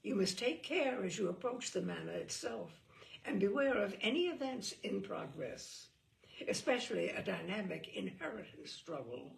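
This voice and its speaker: A woman's voice: medium pitch, sing-song, moderate pace, vocal fry. A woman giving an arch, theatrical, mock-serious reading of a humorous book. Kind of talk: theatrical reading aloud of comic prose.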